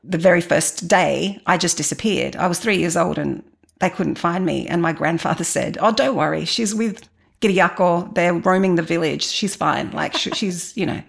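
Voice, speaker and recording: Woman, forties, low voice, gravelly fry, reflective storyteller, candid, conversational. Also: moderate pace. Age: 40s. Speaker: interview guest.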